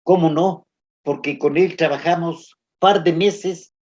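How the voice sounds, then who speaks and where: A man answers interview questions, reminiscing with sincere warmth. His voice sits a bit high for a man. The recording sounds dull and muffled. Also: fairly even intonation, slow. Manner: earnest, warm reminiscing.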